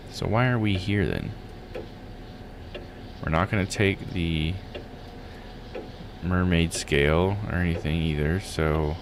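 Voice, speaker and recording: A man's voice: on the low side, background noise. A man live-streaming a visual novel, reading text aloud and musing casually as he plays. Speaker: game streamer.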